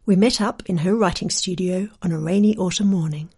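A woman with a fairly low voice introduces her guest from a script. She then asks her questions in a measured, articulate way. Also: mellow, husky. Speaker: interviewer and presenter.

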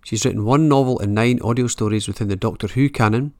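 A man's voice: medium pitch, quick pace. A man speaking as the interviewer on a books podcast.

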